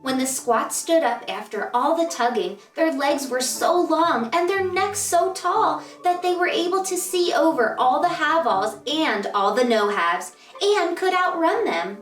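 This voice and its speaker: A woman reading aloud to children as a story-time host, with an expressive, deliberate storytelling delivery. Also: high voice. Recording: roomy echo, distant mic, music behind.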